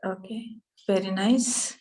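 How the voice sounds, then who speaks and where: A woman teaching an online yoga anatomy class, speaking haltingly with many fillers. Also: medium pitch, steady tone, dark tone. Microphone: video call.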